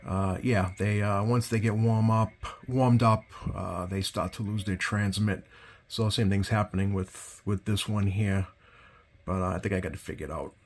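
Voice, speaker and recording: A man's voice: lowish voice, steady tone, moderate pace. Man, casual hobbyist host, hesitant delivery, rambling explanations, frequent self-corrections. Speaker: host, CB radio collector. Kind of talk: casual product demonstration. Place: indoors at a workbench.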